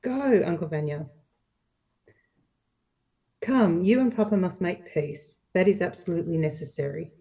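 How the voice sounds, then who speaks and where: A woman playing an earnest, dutiful young niece with a soft, entreating manner in an amateur play reading. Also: fairly low pitch, unhurried, pauses often. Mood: urging.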